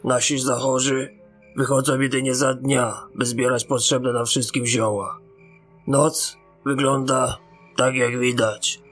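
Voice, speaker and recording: A man's voice: mid-range voice, level tone, slow pace, a bit bright, faint music behind. An actor playing a battle-weary male ensign in a fantasy audio drama, reporting in a grave, respectful military manner.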